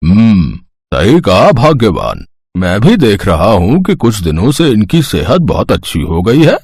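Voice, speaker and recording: A man's voice: fairly low pitch, huge pitch swings, unhurried, a little mellow, husky, no echo, clean studio sound, exaggerated voice. A man playing a grumpy, greedy merchant husband in a moral story, with a scheming, suspicious manner. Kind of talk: moral story villain acting.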